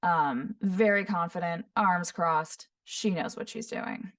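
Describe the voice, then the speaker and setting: A woman voice actor teaching voice impressions, describing each voice in short phrases and often breaking into quick character demos. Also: lowish voice, a bit bright. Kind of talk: voice impression tutorial. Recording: voice somewhat far from the mic.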